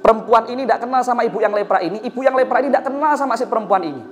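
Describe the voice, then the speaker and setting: A man's voice: very high voice, very fast. Javanese-accented male preacher over music. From Indonesia. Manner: emphatic, repeats phrases and asks rhetorical questions. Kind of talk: storytelling sermon.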